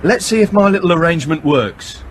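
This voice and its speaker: An actor playing a self-assured, practical technical whiz aboard a submarine in a radio drama, with a wry manner. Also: male voice, a little high, animated, fairly quick, a little hiss or hum, natural voice. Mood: matter-of-fact.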